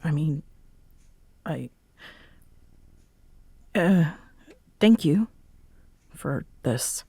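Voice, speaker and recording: A woman's voice: sits low, animated, extremely slow, warm tone, breathy edge, full character voice. A woman playing a tired, sarcastic bartender roommate who teases but is secretly fond, in an intimate roleplay audio. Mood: surprised, flustered. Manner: sarcastic, teasing, hesitant when sincere. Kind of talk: roleplay audio acting, intimate one-sided conversation.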